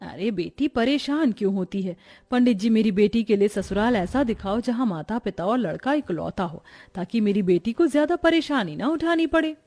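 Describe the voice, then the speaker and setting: A woman as a fond, high-voiced mother. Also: lively intonation, moderate pace, warm tone. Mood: fond, reassuring. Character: fond middle-aged mother arranging her daughter's marriage. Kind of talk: Hindi family storybook acting.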